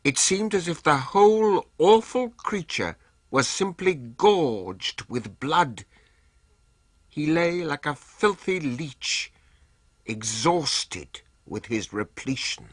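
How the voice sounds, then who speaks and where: A man giving a dramatic reading of literary and press passages, slow and expressive. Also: a little high, no room sound, studio quality. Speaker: reader of quoted texts.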